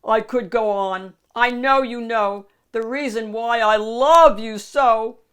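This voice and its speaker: A woman's voice: fairly high pitch, takes it slowly, a bit bright, clear, resonant tone, pitched above the performer's own voice. A woman voicing a lovestruck, ugly ogre princess in a storybook, cooing theatrical rhymes of love. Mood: adoring. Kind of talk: storybook character voice.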